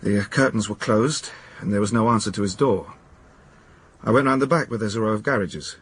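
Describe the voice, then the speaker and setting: An actor playing a reserved, uneasy British academic witness in a radio drama, speaking formally, carefully and a little defensively. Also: male voice, on the low side, fairly quick, fairly bright tone, breathy edge. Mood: careful, factual.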